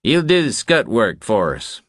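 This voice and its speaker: A man's voice: medium pitch, huge pitch swings, measured pace, a bit bright, no room sound, clean studio sound, pitched above the performer's own voice, full character voice. A man voicing a cocky, wiry ranch hand who needles a newcomer in blunt, folksy, clipped phrases for an audiobook. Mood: mocking. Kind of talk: audiobook character dialogue.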